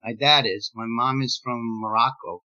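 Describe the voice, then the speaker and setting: Bright-voiced man in his sixties, playwright. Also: mid-range voice, steady tone, moderate pace. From Gibraltar. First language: Spanish. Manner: rambling, many fillers and restarts, laughs while telling stories. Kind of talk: casual podcast interview. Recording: dull lo-fi sound.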